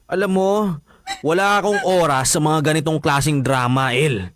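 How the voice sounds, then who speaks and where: An actor with a Filipino accent playing a cold, irritable boyfriend, curt and scolding, in a radio drama. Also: male voice, on the high side, normal speed, a bit bright, lightly acted. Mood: dismissive, annoyed.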